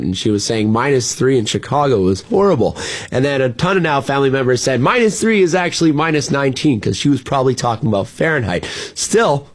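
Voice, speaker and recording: A man chatting casually as a radio host, conversational and full of informal asides. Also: medium pitch. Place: radio studio. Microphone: close broadcast studio mic.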